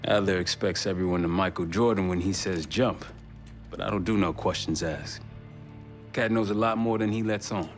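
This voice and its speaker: An actor playing a frank, streetwise American covert operative in a military game, talking colloquially. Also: male voice, low voice, moderate pace, soft background music. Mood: candid, wry. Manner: colloquial, plain-spoken. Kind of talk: cinematic military game acting.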